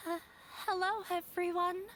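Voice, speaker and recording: A woman's voice: very high-pitched, flat delivery, unhurried, a bit bright, slight background noise. A young woman playing a shy, nervous newcomer dancer in a video game, hesitant and timid. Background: music.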